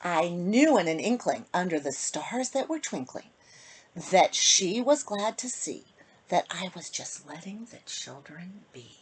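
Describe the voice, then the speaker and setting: A woman reading a rhyming picture book aloud to children in an expressive, sing-song manner, with phrases that often end softly. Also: fairly low pitch, a little slow, breathy edge. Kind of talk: storybook read-aloud for children.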